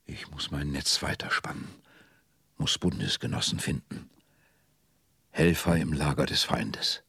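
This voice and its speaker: An actor in a German radio drama playing a grave, determined male state prosecutor, gravelly and husky. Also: rumbling low, measured pace, pushed and bright, dry recording, full character voice. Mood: guarded, resolute.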